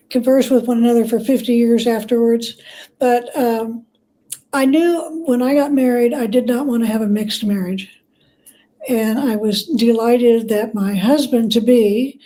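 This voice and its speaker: An older woman telling her own story as an interview guest, conversational, measured and reflective. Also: a little high, dark tone, poor audio. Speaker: interview guest, author and historian.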